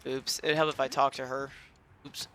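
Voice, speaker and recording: A man's voice: mid-range voice, level tone, moderate pace, bright, forceful tone, a little hiss or hum. A man casually commentating on a video game he is playing, in a self-deprecating way. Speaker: let's-player. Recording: game music behind the voice.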